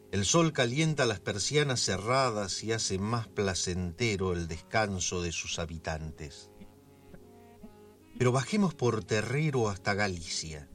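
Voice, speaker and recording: An actor playing an intimate neighborhood storyteller, narrating a scripted radio drama in an unhurried way with a Buenos Aires accent. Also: male voice, lowish voice, fairly bright tone. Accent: Argentine, Buenos Aires.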